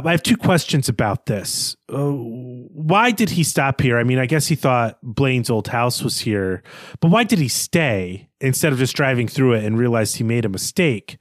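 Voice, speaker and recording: A man's voice: medium pitch, no echo. Guy podcast cohost chatting about a novel, wry, corrects himself, really dry sound with no room. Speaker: podcast co-host. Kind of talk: casual book discussion.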